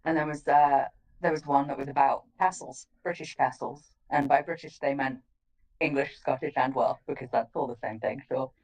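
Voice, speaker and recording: Low-voiced woman, distant from the mic. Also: steady tone, normal speed, a little mellow. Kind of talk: casual chat, anecdote.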